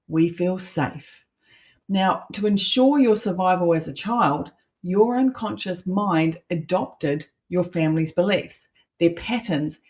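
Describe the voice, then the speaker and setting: A woman's voice: lowish voice, a little mellow. A middle-aged woman with a New Zealand accent, a coach teaching an online masterclass in an emphatic, persuasive way with rhetorical questions.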